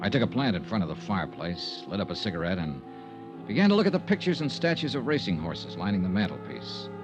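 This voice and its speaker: A wry, unflappable male investigator from vintage radio drama. His lowish voice swings widely in pitch, yet he stays dry and laconic. The old recording is muffled. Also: very animated, fast talker, music in the background. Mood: brisk, matter-of-fact. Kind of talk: old-time radio detective acting, first-person narration.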